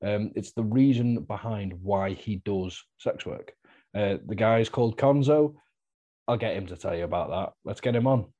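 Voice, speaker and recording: A man hosting a podcast, chatty and relaxed, with lots of fillers and easy laughs. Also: fairly low pitch, moderate pace. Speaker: podcast host. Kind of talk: casual interview chat.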